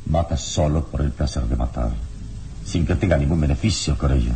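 An actor playing a calm, commanding heroic adventurer in a radio serial, speaking in a measured, formal, authoritative way. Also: male voice, rumbling low, gravelly, slight background noise. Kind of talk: radio serial drama acting.

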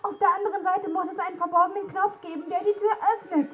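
A woman's voice: very high voice, fairly even intonation, fast talker, fairly bright tone, lo-fi sound. An actress playing a frightened, pleading young woman in a radio drama, urgent and breathless. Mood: anxious urging. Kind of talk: German radio drama acting.

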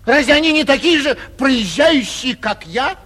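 Vain young gentleman, high pitch, whining indignation, theatrical 1940s radio drama, reverberant old recording. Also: expressive, normal speed, exaggerated voice. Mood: haughty, indignant. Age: young adult. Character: vain, petulant young gentleman traveller, blustering yet cowardly.

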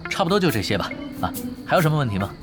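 A man acting in an audio drama as a laid-back, shrewd gamer, nonchalant and smoothly persuasive. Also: on the high side, sing-song, very fast. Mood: teasing, breezy. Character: easygoing, shrewd, laid-back male gamer recruiting helpers for his guild. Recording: studio drama with loud background music.

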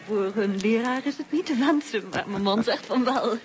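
A woman's voice: fairly high pitch, normal speed, a little hiss or hum, natural voice. An actress in a Dutch radio play as a reserved, uneasy mother, speaking hesitantly and politely in short replies. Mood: guarded, polite. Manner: hesitant, terse, politely reticent. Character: reserved, uneasy married mother of two daughters.

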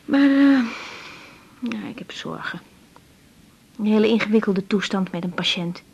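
An actress from the Netherlands playing a caring, hesitant young doctor in a naturalistic Dutch radio drama, with a breathy voice. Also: female voice, medium pitch, expressive, measured pace, a little mellow, highs cut off, full character voice. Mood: friendly, familiar. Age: young adult.

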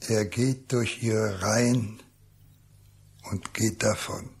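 An actor as the narrator of a literary radio play, solemn and deliberate in his storytelling. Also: lowish voice, steady tone, darkish tone, husky, exaggerated voice. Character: grave male storyteller of a radio novella.

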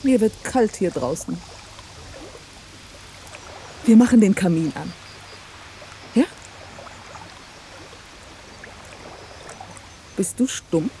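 An intense, patronising woman in a German radio drama. Her mid-pitched voice swings expressively as she coaxes and lectures with fervour. There is slight noise behind her. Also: expressive, slow pace, warm tone. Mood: instructive. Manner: coaxing, didactic, commanding.

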